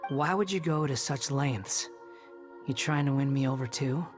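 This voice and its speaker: A man's voice: mid-range voice, normal speed, natural voice. An actor voicing a bitter, proud young male former villain in a video game, with a dry, aloof manner. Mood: scornful, guarded. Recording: background music under voice.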